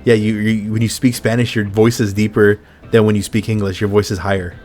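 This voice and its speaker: Rough-voiced laid-back male podcast host. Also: lowish voice, flat delivery, fairly quick, darkish tone. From Mexican heritage. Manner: laid-back, swears often. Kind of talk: casual chat.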